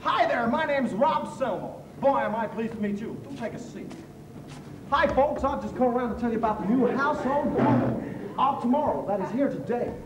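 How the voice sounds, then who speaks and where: An actor playing a smooth-talking male door-to-door salesman with a flattering pitch. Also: brisk, background noise. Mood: slick. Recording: echoey, distant, music behind.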